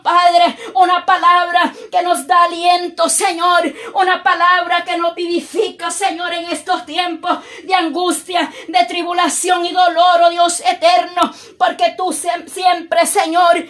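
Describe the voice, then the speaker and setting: A woman with a very high voice leads an impassioned prayer and calls out again and again. She keeps almost to one fixed note and draws her phrases out slowly, shouting much of the time. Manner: impassioned, repetitive invocations, often shouting. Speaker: prayer leader. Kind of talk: fervent spoken prayer.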